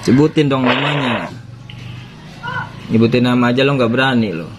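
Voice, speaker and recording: A man's voice: medium pitch, moderate pace, over music. A man defiantly taunting and mocking a debt collector during a heated phone argument. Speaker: borrower confronting a debt collector. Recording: phone call recorded with noisy background.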